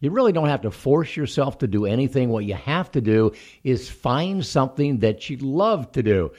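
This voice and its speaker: A man's voice: medium pitch, expressive, normal speed, darkish tone. A male co-host speaks in a mid-range voice. His delivery is scripted but conversational, as if explaining something to his partner. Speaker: show co-host.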